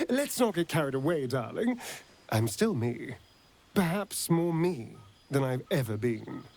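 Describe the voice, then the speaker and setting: A man's voice: a little high, big pitch swings, slow, a little mellow, heavily acted. A man playing a flamboyant, sardonic vampire rogue in a video game, with an arch, drawling delivery and a tender streak. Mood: playful. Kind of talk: theatrical video game character acting.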